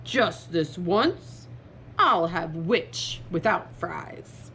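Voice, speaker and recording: A woman voicing a huge, hungry male dragon from a storybook, with a menacing, drawn-out delivery. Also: on the low side, lively intonation, sharp tone, slight background noise, pitched below the performer's own voice, exaggerated voice.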